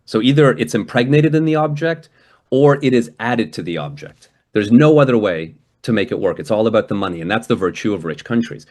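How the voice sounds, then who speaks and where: A man's voice: mid-range voice, animated, fairly quick, darkish tone. A man from Hungary giving a guest lecture and answering questions over a video call, conversational, with frequent restarts and a habit of tagging "right?" onto his points. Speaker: guest speaker.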